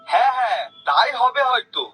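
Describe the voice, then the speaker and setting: A man's voice: extremely high pitch, very animated, fairly quick, pushed and bright, soft background music, full character voice, poor audio. A man playing a sly, greedy, wheedling village shopkeeper in a cartoon. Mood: defensive, wheedling. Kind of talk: cartoon character acting.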